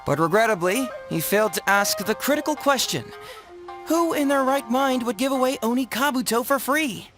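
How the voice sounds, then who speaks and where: Witty, theatrical young detective. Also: male voice, very high-pitched, expressive, moderate pace, music in the background. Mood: showy, knowing. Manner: theatrical, articulate, playful. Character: witty, smooth-talking young male detective. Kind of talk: video game voice acting.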